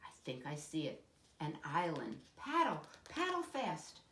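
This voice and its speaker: A woman voicing an eager, imaginative little boy playing pretend in a storybook, excitable, bossy and dramatic. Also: sits low, a little slow, a little hiss or hum, heavily acted. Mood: urgent, excited. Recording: echoey room.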